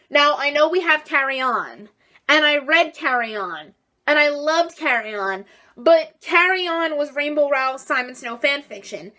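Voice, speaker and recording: Teen girl gushing about books on her vlog, high bright voice, kinda hoarse. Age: teens. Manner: bubbly, chatty, lots of "like". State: slightly hoarse, recovering voice. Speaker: book vlogger. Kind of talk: casual vlog list.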